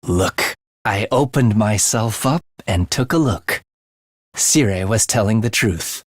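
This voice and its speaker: Wildly expressive, morbid young assassin. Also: male voice, fairly low pitch, slow pace, no echo, clean studio sound. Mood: sly, proud. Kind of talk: English video game voice acting.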